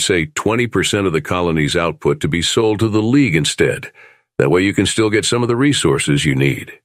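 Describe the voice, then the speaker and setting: A man playing a calm, diplomatic starship captain in an audio drama, speaking in a measured, reasonable way. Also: a bass voice, dry recording, studio quality.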